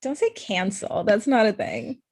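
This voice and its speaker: A woman's voice: medium pitch, lively intonation, a little slow, darkish tone, no room sound. A young woman acting a blunt, hurt, confrontational ex-girlfriend in her thirties in a comedy-drama table read. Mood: exasperated. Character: Amita, blunt, hurt ex-girlfriend, thirty-five, sharp-tongued lawyer.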